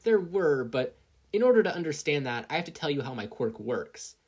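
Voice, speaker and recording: An earnest teenage boy hero student from a story, put on by a reader, pitched well up from a normal voice. He sounds eager and a little sheepish, with a lively, nervous lilt. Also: normal speed, husky.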